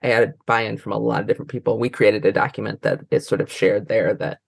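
A woman's voice: very deep voice, steady tone, fast talker, creaky, slightly rough, no room sound, clean studio sound. An American woman talking casually as an interview guest, rambling and often trailing off mid-thought. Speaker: interview guest, librarian and trainer.

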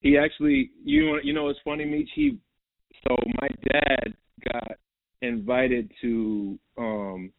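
A man's voice: medium pitch, expressive, unhurried, gravelly, dry recording. A young man from New York, a basketball guest in a casual interview, rambling and repeating himself with lots of "like" and "bro". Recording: tinny phone-like line, dry.

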